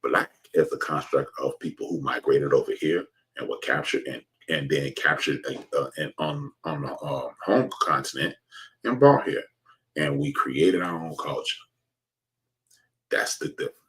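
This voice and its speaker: A man's voice: a bass voice, moderate pace, fairly bright tone, breathy edge, rough recording. An American man giving an informal, emphatic opinion monologue to his viewers, preaching his point and repeating phrases for stress. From United States. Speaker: host of an online commentary show.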